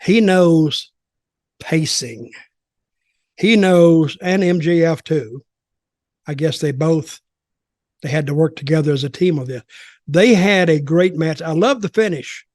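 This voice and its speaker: Older man, higher-pitched voice, dry close sound, rambling, opinionated, casual podcast commentary. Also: unhurried, long pauses, darkish tone, no echo. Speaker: wrestling commentator and podcast guest. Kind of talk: casual wrestling discussion.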